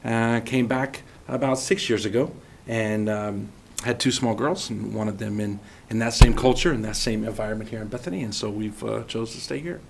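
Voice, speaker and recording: A man's voice: fairly low pitch, moderate pace, vocal fry, a little hiss or hum. A man from Oklahoma, a former pastor running for council, talking earnestly and at length. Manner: earnest, flowing, with fillers.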